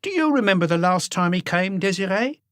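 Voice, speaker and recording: A man's voice: high voice, expressive, brisk, fairly bright tone, no room sound, clean studio sound, pitched above the performer's own voice. A man voicing a blunt, guarded female concierge in an audiobook, giving curt, offhand answers.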